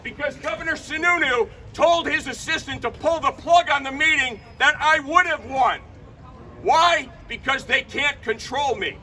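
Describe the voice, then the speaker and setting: A man giving a fiery political rally speech outdoors, loud and punchy, shouting his slogans. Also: very high voice, moderate pace, recorded from a distance, a faint crowd, slight background noise. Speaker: rally speaker. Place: outdoor rally by a state capitol.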